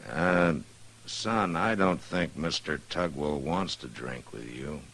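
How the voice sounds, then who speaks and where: A really deep voiced american western marshal, calm and in charge, old radio drama. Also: male voice, slow pace, a little hiss or hum, heavily acted. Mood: firm. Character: calm, authoritative frontier marshal.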